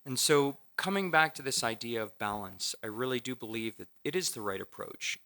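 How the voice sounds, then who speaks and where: A man speaking for a government on a conference panel, measured and diplomatic. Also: mid-range voice, lively intonation, vocal fry, bright, forceful tone. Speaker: government trade official. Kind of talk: prepared remarks on a panel. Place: lecture hall at a conference.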